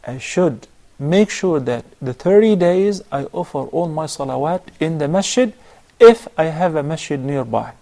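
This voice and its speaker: A man answers viewers' questions as the sheikh of a call-in program. His voice sits a touch high but stays soft and mellow. He teaches in a measured way, counting off points. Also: lively intonation. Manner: measured, instructive, lists steps. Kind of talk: religious advice on a call-in show.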